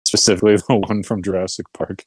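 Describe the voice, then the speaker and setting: A man co-hosting a podcast in casual, joking chat, with animated, exclamatory reactions. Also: low-pitched, quick pace, husky, dry recording. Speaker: podcast co-host.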